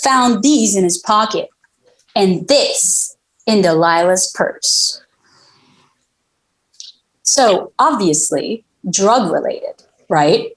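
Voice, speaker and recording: A woman voicing a gruff, dismissive alpha-dog male police detective at a table read of a TV crime drama. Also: mid-range voice, lively intonation, poor audio. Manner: offhand, dismissive, unhurried.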